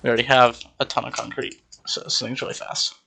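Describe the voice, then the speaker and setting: A young man talks through a game build in a loose, rambling way, filling pauses with ums. His voice is bright and a little congested. He speaks into a desk mic. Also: mid-range voice, vocal fry, poor audio. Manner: rambling, relaxed, many ums. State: slightly congested. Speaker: Minecraft server player. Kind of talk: casual gameplay walkthrough.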